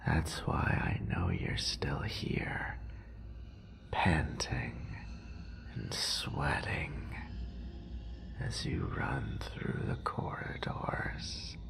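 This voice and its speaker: A man playing an obsessive, murderous, possessive lover in a horror roleplay audio drama, with a breathy, gravelly voice and lingering repetitions. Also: a bass voice, sing-song, full character voice. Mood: obsessive, crooning. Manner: breathy whispering, drawn-out sighs, lingering repetitions.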